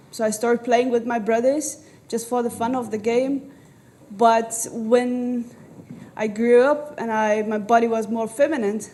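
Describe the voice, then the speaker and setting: Earnest Afghan-born woman panelist, slightly high. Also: a little mellow. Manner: earnest, halting with restarts. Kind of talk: panel discussion storytelling. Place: conference venue with audience.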